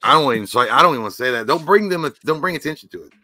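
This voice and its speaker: A man in his thirties, loud and profane, joking casually as co-host of a livestream. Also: fairly high pitch, expressive, very fast, no room sound, studio quality. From Kentucky. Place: home room with shelves. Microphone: desk mic on video call.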